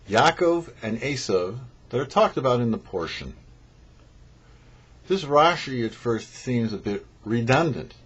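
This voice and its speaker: Expressive husky man teaching scripture. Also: medium pitch, dull audio. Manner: didactic, poses questions then explains. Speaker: rabbi, show host. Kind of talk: religious teaching, prepared commentary.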